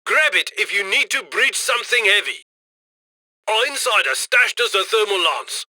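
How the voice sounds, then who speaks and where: A man's voice: high voice, expressive, moderate pace, pushed and bright, no echo, studio quality, exaggerated voice. An actor voicing a cocky, upbeat criminal heist handler in a video game, slick and conversational as he directs and eggs on the crew. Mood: brisk, instructing. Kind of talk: video game mission voice-over.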